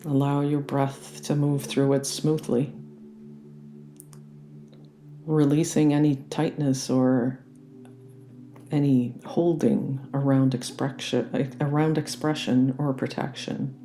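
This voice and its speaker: A meditation guide leading a guided relaxation in a gentle, soothing and unhurried manner. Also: mid-range voice, level tone, a little hiss or hum. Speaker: yoga nidra meditation guide.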